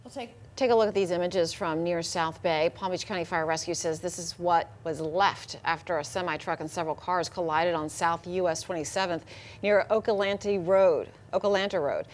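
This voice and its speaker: A woman's voice: lowish voice, moderate pace. A woman working as a TV news anchor, reading scripted stories in a studio.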